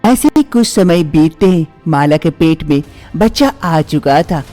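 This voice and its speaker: A man's voice: fairly high pitch, lively intonation, a little slow, warm tone, faint music behind. A man reading scripted narration as the storyteller of a moral tale.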